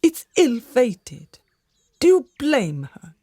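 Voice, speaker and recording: A woman's voice: high-pitched, wildly swooping pitch, slow pace, dark tone, slightly rough, no echo, clean studio sound, heavily acted. A woman playing an older gossiping servant in a radio drama, secretive, theatrical and conspiratorial. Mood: protesting.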